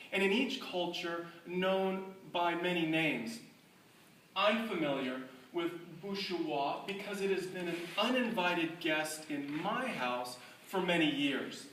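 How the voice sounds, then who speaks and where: A middle-aged storyteller gives a humorous tall-tale speech with lively, swooping intonation. The delivery is slow and deliberate, playing the mock scholar with dramatic pauses. It is picked up from a distance in a roomy, echoing space. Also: medium pitch. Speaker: speaker giving a humorous club speech. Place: indoor room with live audience. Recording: echoey room from afar, faint noise.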